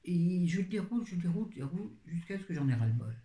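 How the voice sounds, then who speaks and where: A woman's voice: lowish voice, big pitch swings, quick pace, dark tone. A woman chatting casually about books to her viewers, rambling and often interrupting herself. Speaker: book vlogger. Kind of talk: casual book review chat. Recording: roomy echo.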